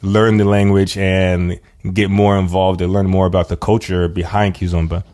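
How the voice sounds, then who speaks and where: A man's voice: sits low, flat delivery, normal speed, a little mellow. A man hosting a casual interview, upbeat and encouraging toward his guest. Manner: enthusiastic, encouraging, says "that's awesome" often. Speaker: interview host.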